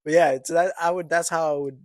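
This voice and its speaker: A man's voice: a little high, steady tone, fast talker, dry recording. Insistent young man arguing on a podcast. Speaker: podcast co-host. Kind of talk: casual chat.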